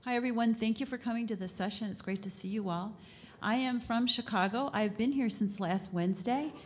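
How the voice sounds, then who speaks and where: A woman's voice: fairly high pitch, fairly quick, a little hiss or hum. A woman introducing herself on a conference panel in a friendly, upbeat way. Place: conference session room.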